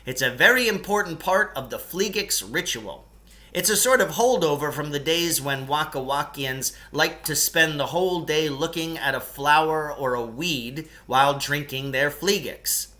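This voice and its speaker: A man's voice: fairly high pitch, normal speed, pushed and bright. A man voicing a long-winded, nostalgic storyteller in a children's novel, ceremonious and pompous, drawing out key words. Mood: grandly explanatory. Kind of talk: children's novel read aloud in character.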